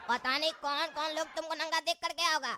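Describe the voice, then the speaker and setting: Squeaky, cheeky cartoon troublemaker. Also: steady tone, brisk, sharp tone, a little hiss or hum. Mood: mocking. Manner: teasing, exasperated. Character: cheeky, mocking, scolding male cartoon troublemaker. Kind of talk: Hindi comedy cartoon dialogue.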